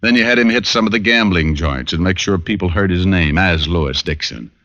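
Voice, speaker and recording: An actor playing a tough, wry, sarcastic private detective in an old hardboiled radio drama. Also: male voice, low voice, brisk, pushed and bright. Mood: accusing. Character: wisecracking, tough, sardonic male private detective. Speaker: lead detective and narrator. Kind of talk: 1940s hardboiled radio acting. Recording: dull old radio recording with music.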